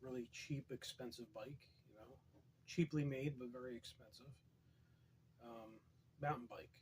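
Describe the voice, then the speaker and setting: A man with a hoarse, fading voice, reading poetry aloud and drifting into wry, rambling asides, keeping his voice soft and quiet. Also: medium pitch, a little slow, a little hiss or hum, poor audio. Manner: quiet, soft-spoken, wry deadpan humor. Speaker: poetry reader.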